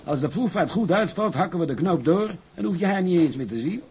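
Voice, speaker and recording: Male seaman, the easygoing sidekick: fairly high voice, very lively intonation, wry, chatty radio-play delivery. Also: a little fast. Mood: reassuring. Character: easygoing, talkative male seaman, pragmatic confidant. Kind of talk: Dutch radio drama acting.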